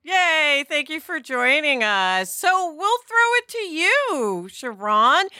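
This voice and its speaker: A middle-aged woman co-hosting a podcast in an emphatic, expressive conversational style. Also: high voice, slow pace, no room sound, clean studio sound. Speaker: podcast co-host. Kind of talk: animated conversational discussion.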